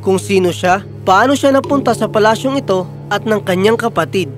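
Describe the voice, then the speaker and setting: A man's voice: high voice, fairly quick, music in the background. A man narrating a scripted fairy tale as storyteller.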